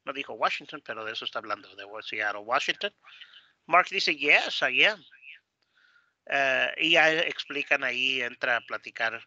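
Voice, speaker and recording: A man's voice: medium pitch, lively intonation, moderate pace, sharp tone. A man teaching English online, explaining a dialogue and often repeating words as he searches for the next. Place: online video class, close dry mic. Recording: dull lo-fi online class audio.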